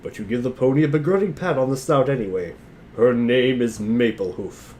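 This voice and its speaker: A man's voice: mid-range voice, a little fast, darkish tone, a little hiss or hum. A man doing a sardonic, profane dramatic reading mixed with casual chat among friends. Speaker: narrator and reader of a webcomic dubbed as a dramatic reading.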